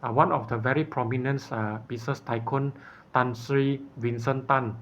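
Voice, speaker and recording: Halting man, dull lo-fi sound. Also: medium pitch, steady tone, a bit bright, a little hiss or hum. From Malaysia. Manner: halting, earnest, searching for words. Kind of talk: personal video appeal, unscripted monologue.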